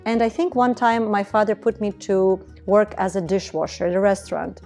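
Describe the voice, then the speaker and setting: A woman's voice: mid-range voice, steady tone, normal speed, a little mellow, soft background music. A woman, speaking earnestly and reflectively as a business founder in a documentary interview. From Estonia. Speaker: entrepreneur, beauty business founder.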